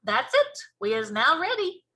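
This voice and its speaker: A woman's voice: sits high, wildly swooping pitch, measured pace, bright, forceful tone, voice pushed up, exaggerated voice. Woman putting on a big, emphatic friendly giant. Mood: pleased. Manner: folksy mangled grammar, emphatic delivery. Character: big friendly giant, male, earnest, kindly, quirky speaker.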